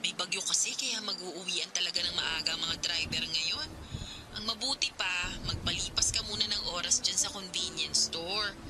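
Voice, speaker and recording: A woman's voice: lowish voice, normal speed, close to an everyday voice. A woman playing an older sister anxiously questioning her younger sibling over the phone in a radio drama. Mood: worried. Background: background music.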